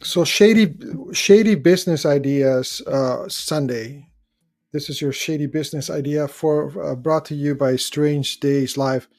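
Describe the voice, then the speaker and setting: Gravelly man hosting a live call-in show. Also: fairly high pitch, measured pace, darkish tone. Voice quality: rambling, searching for words. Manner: reads out viewer comments. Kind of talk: casual live-stream chat.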